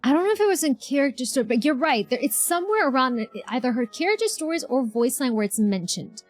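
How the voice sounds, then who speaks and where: High-voiced, chatty woman streamer. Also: expressive, fast talker. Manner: exclamatory, chatty, effusive. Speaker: game livestreamer reacting to a quest. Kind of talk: casual livestream chat and reactions.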